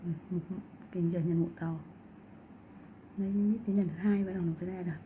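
A woman's voice: fairly low pitch, fairly even intonation, a little fast, narrow, tinny audio, slight background noise. A woman chatting casually and explaining cases while she works in a skin clinic treatment room. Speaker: acne extraction practitioner. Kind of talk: casual chat while working.

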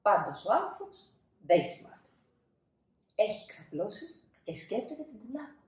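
A woman in her thirties reads a storybook for children. Her pitch moves up and down expressively, and she leans on key words with dramatic pauses. The room gives her voice a noticeable echo. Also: big pitch swings, darkish tone. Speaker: storybook reader. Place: small indoor room, seated. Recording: roomy indoor echo.

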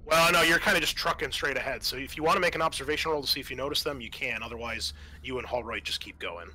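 A man narrating a tabletop roleplaying game as game master, explaining things in a halting, improvised way. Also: mid-range voice, pushed and bright, slight background noise, rough recording.

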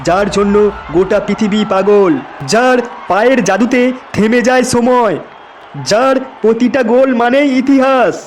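A man voicing a grandiose cartoon stage announcer with a dramatic, drawn-out delivery. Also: extremely high pitch, fairly even intonation, a little hiss or hum. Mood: grand, excited. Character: grandiose stage announcer hyping a football crowd. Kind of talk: theatrical event announcement.

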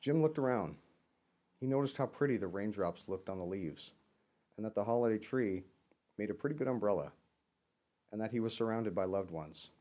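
A man reading a children's picture book aloud as an expressive storyteller. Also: on the low side, normal speed, pauses often. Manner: expressive, acts out dialogue. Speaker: storyteller dressed as Santa.

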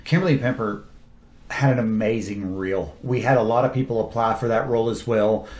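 A man's voice: lowish voice, fairly quick. A man, a film director talking enthusiastically about his cast in an interview. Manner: enthusiastic, animated storytelling with quoted asides. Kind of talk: casual interview talk. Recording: roomy echo.

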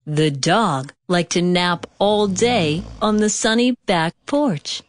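A woman narrating a children's read-along storybook with careful, expressive delivery. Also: lowish voice, takes it slowly, dry recording, clean studio sound.

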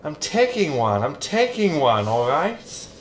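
An actor playing a grumpy, sardonic ship's hologram who grumbles through a sci-fi sitcom audio drama. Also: male voice, fairly high pitch, sing-song, unhurried, a little hiss or hum. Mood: grumbling. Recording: echoey room with background ambience.

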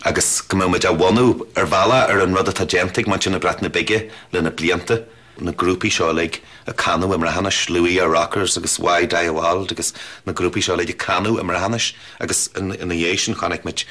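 A middle-aged man from Ireland talks fast in a radio studio, his voice deep yet bright and forceful. He explains at an animated clip. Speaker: radio station interviewee.

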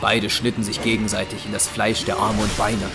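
Male narrator, fairly low voice, dramatic scripted storytelling, audio drama, clear music behind. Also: flat delivery, normal speed.

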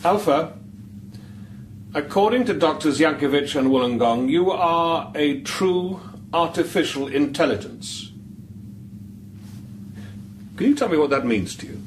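A man playing a gentle, probing priest in a radio drama, speaking thoughtfully with careful questions and pauses. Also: fairly high pitch, expressive, a little hiss or hum, poor audio. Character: gentle, probing priest, an investigator sent from Rome. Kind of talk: naturalistic radio drama acting.

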